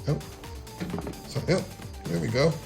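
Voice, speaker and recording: A man narrating a casual hands-on how-to, unhurried and thinking aloud as he works. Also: a little high, vocal fry, music in the background. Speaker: repair tutorial presenter.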